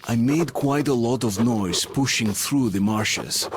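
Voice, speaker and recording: A man playing a solemn soldier who narrates his post-apocalyptic journey in a video game, measured and introspective. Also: medium pitch, close to an everyday voice. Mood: sombre resolve. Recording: game narration over clear background noise.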